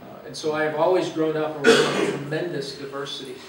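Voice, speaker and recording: A man in his fifties from Los Angeles, giving an earnest, reflective talk as a guest speaker with frequent "uh" pauses. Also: a little high, steady tone, heavy background noise. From Los Angeles, USA. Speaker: guest speaker, university president. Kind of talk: personal talk to a church gathering. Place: meeting room or church hall. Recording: echoey room, mic far away.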